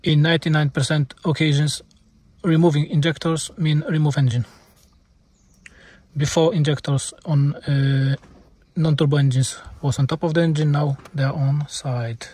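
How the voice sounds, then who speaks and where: Polish-accented man, slightly husky, higher voice. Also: flat delivery, darkish tone, dry recording. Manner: halting, non-native English phrasing. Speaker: car mechanic presenting a repair video. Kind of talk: informal hands-on explanation.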